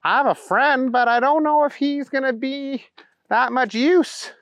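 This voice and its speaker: A man voicing a dim, naive, bumbling male character in a children's story, puzzled and slow-witted. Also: very high voice, a bit bright, clean tone, no echo, pitched above the performer's own voice, heavily acted. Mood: flustered, unsure.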